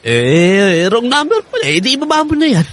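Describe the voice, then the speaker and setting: A man's voice: very high-pitched, a little fast, full character voice. A man with a Filipino accent playing an emphatic, exasperated but affectionate boyfriend in a radio drama. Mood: casual, obliging. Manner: emphatic, expressive. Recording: music under the voice.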